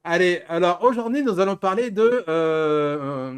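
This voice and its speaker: A man's voice: sits high, expressive, a little slow, clean tone. A middle-aged man hosting a casual group chat, rambling and often backtracking on his words. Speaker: host of an anime talk show.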